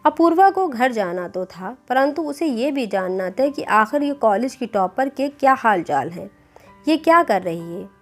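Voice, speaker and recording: A woman narrates a scripted moral tale in a fairly high voice. Music plays behind her as she tells the story. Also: expressive, measured pace. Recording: clear background music bed.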